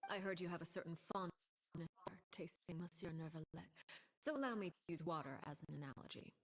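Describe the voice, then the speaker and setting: Actress plays elegant female diplomat, low voice, formal, measured, quietly threatening. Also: a little hiss or hum, natural voice. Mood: reflective. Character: cool, composed female diplomat, elegant and menacing. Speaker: game character.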